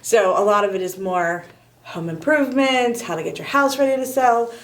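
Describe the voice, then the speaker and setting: A woman's voice: on the high side, moderate pace, rough recording. A middle-aged woman answering interview questions conversationally as a real estate agent, candid and self-deprecating.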